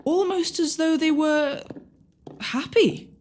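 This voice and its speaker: A woman's voice: high-pitched, slow pace, slight background noise. A woman playing a timid, chatty young servant with a nervous manner in an audio pantomime.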